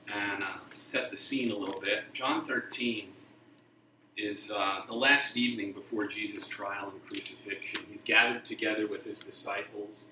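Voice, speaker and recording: A middle-aged man from Texas with a faint trace of the accent left preaches a friendly, self-deprecating sermon. His voice sits on the low side and comes through bright and forward. The church around him rings, and he sounds set back from the microphone. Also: far from the mic, a little hiss or hum. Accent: mostly faded Texas. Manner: conversational, self-deprecating humor. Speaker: guest pastor. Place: church sanctuary. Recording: roomy church sound from off-mic.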